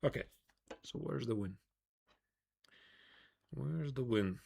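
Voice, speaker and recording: A man with a Latvian accent and a mid-pitched voice commentates live on chess. He talks casually, thinking aloud in short phrases and putting questions to himself as he goes. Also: expressive, slow pace, gravelly, dark tone, slightly rough.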